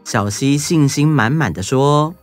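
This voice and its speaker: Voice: medium pitch, a little slow, soft background music. A narrator reading a scripted children's story.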